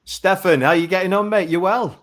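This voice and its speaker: A man's voice: high voice, a little fast. Effusive, matey male talk-show host. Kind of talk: live online chat show.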